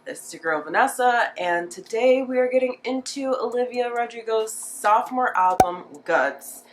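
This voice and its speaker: A woman's voice: a little high, moderate pace, background noise. A woman with a slightly congested, nasal voice from a cold, chatting casually and rambling through an unscripted music reaction, peppering it with "like". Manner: chatty, rambling, filler-heavy with frequent "like". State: getting over a cold.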